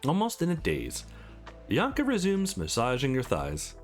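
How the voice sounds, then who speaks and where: A man's voice: medium pitch, moderate pace, soft background music. A man hosting a let's play, reading a visual novel aloud expressively with casual commentary. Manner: expressive, acts out dialogue between narration.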